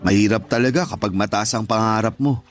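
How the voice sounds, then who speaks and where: An actor playing a gentle, flattering and sympathetic male friend with a Filipino accent, in conversational radio drama style. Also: on the low side, fairly quick, a little hiss or hum, close to an everyday voice. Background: background music.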